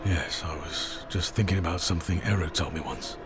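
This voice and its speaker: An actor playing a grieving, brooding warrior in a fantasy game, restrained and somber. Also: male voice, sits low, fairly quick, heavy background noise, lightly acted. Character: somber, grieving male warrior bent on revenge. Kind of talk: dramatic fantasy game acting. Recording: loud music under the voice.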